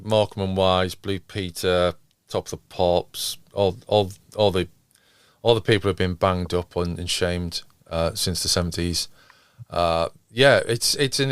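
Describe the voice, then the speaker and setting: A man's voice: fairly low pitch, no room sound, studio quality. A man hosting a podcast in casual chat, with a hesitant, rambling way of talking. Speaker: podcast host.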